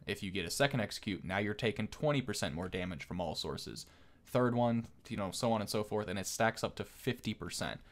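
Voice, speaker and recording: Casual low-voiced man commentating on games. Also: expressive, a little fast. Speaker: gaming video host.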